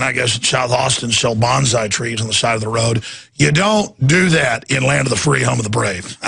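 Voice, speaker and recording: Hoarse, raspy, gravelly man ranting on radio. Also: mid-range voice, pushed and bright, no echo. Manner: ranting, indignant. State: hoarse, straining to talk. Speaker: radio talk show host. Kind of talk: combative political commentary.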